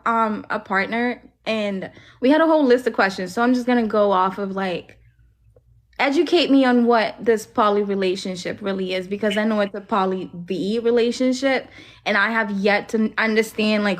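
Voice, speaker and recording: A woman hosting a livestream, chatting casually with lots of "like" fillers. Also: medium pitch. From Alabama, US. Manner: chatty, filler-heavy with frequent "like". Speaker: livestream host. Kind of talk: casual chat.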